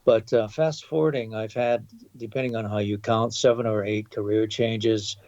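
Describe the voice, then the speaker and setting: Gravelly older man reminiscing slowly. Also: fairly low pitch, fairly even intonation, a little mellow, no room sound. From Hawaii. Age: 70s. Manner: measured, with frequent um and uh pauses. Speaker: guest. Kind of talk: thoughtful reminiscing interview. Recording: dull, lo-fi dry recording.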